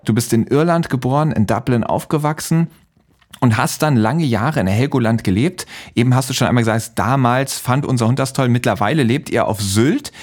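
A man's voice: medium pitch, a little fast, a little mellow. A man hosting a podcast interview in a conversational, attentive way.

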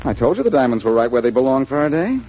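A man's voice: medium pitch, big pitch swings, very fast, natural voice. A man playing a breezy, wisecracking amateur sleuth, flippant and confident, with an American accent in 1940s radio drama style. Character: breezy, wisecracking male amateur sleuth, cool under accusation. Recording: muffled, noisy 1940s radio recording.

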